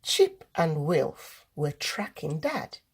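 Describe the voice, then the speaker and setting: A woman reading a children's story aloud as host, in deliberate, expressive narration. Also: deep voice, breathy edge.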